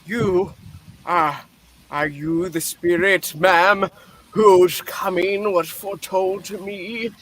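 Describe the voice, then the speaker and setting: A man's voice: high voice, fairly even intonation, takes it slowly, fairly bright tone, exaggerated voice. A man playing a frightened, pleading old miser in a comic radio play reading, with a flustered, theatrical manner. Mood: startled.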